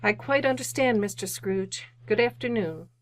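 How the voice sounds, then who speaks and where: A woman's voice: mid-range voice, animated, normal speed, close to an everyday voice. An actress playing a polite, earnest, genteel lady collecting for charity, formal and courteous, in old-time radio drama style. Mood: gently reproachful.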